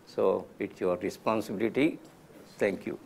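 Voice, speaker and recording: A man in his nineties, a native Gujarati speaker from India, giving a gentle, unhurried spiritual talk with soft laughs. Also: fairly low pitch, creaky, a little hiss or hum. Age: 90s. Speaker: lecturer.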